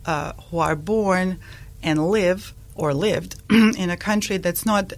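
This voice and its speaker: A woman in her forties from Bosnia, speaking English with a Bosnian accent, a guest in a radio interview who talks with many pauses and restarts. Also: on the low side, gravelly.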